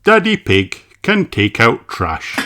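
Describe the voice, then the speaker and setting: A man reading picture books aloud for children in a slow, playful, exaggerated storytelling way. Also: mid-range voice, wildly swooping pitch, background noise. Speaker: storybook reader.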